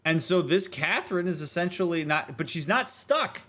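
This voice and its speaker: A man's voice: on the high side, lively intonation, normal speed, sharp tone. A man streaming a game, giving reflective commentary in an earnest, emphatic, explanatory and conversational manner. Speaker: streamer, therapist host.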